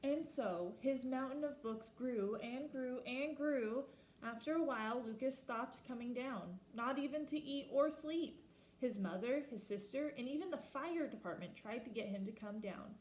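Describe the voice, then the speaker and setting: A woman reading a children's picture book aloud expressively, slowing down for emphasis and pausing to ask her listeners questions. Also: on the high side, a little mellow, roomy sound, a little hiss or hum, poor audio. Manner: asks listeners reflective questions. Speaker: storybook reader.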